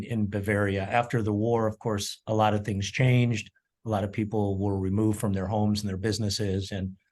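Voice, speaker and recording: Middle-aged man, low voice, rambling. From United States (Colorado). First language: German. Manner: rambling, warm storytelling with frequent ums and repeated words. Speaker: chef and culinary educator, interview guest. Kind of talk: casual interview storytelling.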